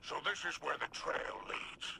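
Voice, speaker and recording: A man playing a wily, self-important alien crime boss in a video game, with a gravelly voice and pompous, florid delivery. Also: measured pace, a little hiss or hum. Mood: sly. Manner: pompous, theatrical, florid wording. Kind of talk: video game character acting. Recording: loud game music behind.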